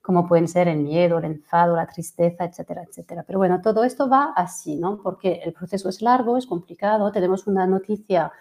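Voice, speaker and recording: A woman's voice: fairly low pitch, fast talker, hardly any pauses, darkish tone. A French woman in her forties, a fertility coach giving a webinar talk over a video call, hesitant with many fillers and restarts. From France. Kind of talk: webinar presentation.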